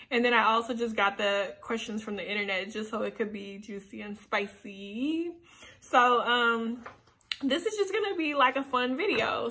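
A woman in her twenties chatting casually and playfully to the camera, answering get-to-know-me questions in a small room. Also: on the high side. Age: 20s. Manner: animated, chatty, playful asides. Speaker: YouTuber. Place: small indoor room, seated on a sofa.

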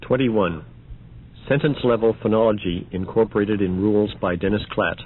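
A man reading scripted, numbered announcements in a measured, matter-of-fact narrator's manner. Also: fairly low pitch, slightly rough. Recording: dull band-limited old audio.

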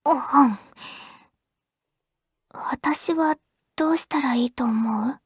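A shy teenage anime girl murmurs close and dry into the phone to the childhood friend she likes. Her high voice is very breathy and soft, and she goes slowly, hesitating and stammering bashfully. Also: no room sound, heavily acted. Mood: hesitant, puzzled. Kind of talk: anime audio drama, intimate ASMR phone-call acting.

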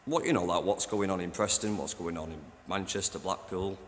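Deep-voiced man rambling on a phone vlog. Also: fairly even intonation, fairly quick, slight background noise. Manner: chatty, rambling, says "you know what I mean". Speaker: station cleaner and vlogger. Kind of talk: casual walking tour vlog. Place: old train station toilets and platforms at night. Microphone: handheld phone.

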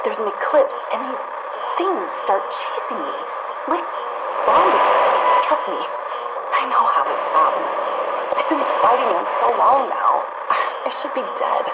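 A woman's voice: a little high, very animated, slow, full character voice. An actress playing a wry, foul-mouthed, weary woman surviving among zombies, talking conversationally and sardonically in a game audio log. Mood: wry, incredulous. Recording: very noisy radio audio log.

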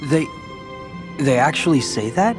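A man's voice: a little high, expressive, a little slow, music in the background. A young man playing a guarded, brave teenage hero with a dry, understated delivery in a game cutscene. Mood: puzzled, reflective. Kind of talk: scripted video game cutscene acting.

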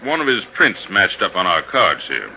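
An actor playing a plain-spoken, laconic American police sergeant in a 1950s radio drama. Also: male voice, low-pitched, very animated, normal speed, gravelly, exaggerated voice. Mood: matter-of-fact. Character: male police sergeant, plain-spoken, easygoing, matter-of-fact. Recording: muffled, noisy 1950s radio recording.

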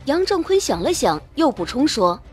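A woman's voice: sits high, very animated, moderate pace, a little mellow, faint music behind. A woman reading scripted, dramatic narration of a novel as an audiobook narrator.

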